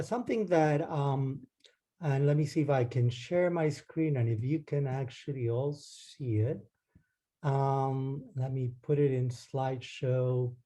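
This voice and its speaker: A man from Argentina giving an academic lecture over a video call, relaxed and hesitant, with many ums and restarts. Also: a little high. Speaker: guest lecturer. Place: home or office, speaking into a computer.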